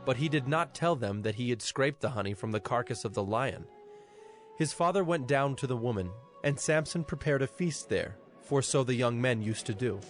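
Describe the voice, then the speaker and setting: A man's voice: mid-range voice, expressive, normal speed. Male scripture narrator over music.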